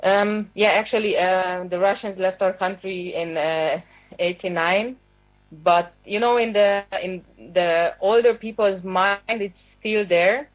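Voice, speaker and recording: A woman's voice: mid-range voice, steady tone, takes it slowly, highs cut off. A woman in her thirties from Hungary, speaking as a guest over a video call and giving a personal account with many hesitations and fillers. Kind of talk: personal account on a talk show.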